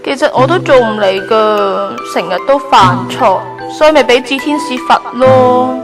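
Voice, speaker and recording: A woman's voice: a little high, moderate pace, lightly acted. A woman playing an earnest, sentimental young trainee angel in a Hong Kong Cantonese radio drama. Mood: shy, curious. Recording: background music under the voice.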